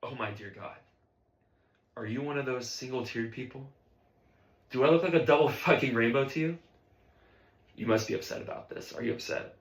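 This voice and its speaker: A man's voice: mid-range voice, moderate pace, long pauses, echoey room, natural voice. A man playing a ruthless, abusive jazz band conductor in a dramatic film-script performance, profane and interrogating. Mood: cold contempt.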